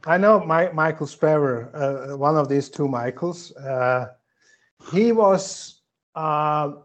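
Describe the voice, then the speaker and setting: A man answers interview questions in English, pausing often with fillers and restarts. His voice sits a little higher than most men's. He speaks in a measured, careful way. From German-speaking country. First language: German. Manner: hesitant, many fillers, measured. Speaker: guest.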